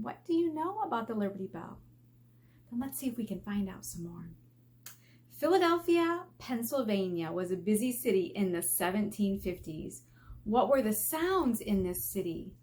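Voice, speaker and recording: A woman teacher reading aloud to children in an expressive way, asking questions and drawing out key words for emphasis. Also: mid-range voice. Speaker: elementary school teacher. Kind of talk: read-aloud lesson for children. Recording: faint background noise.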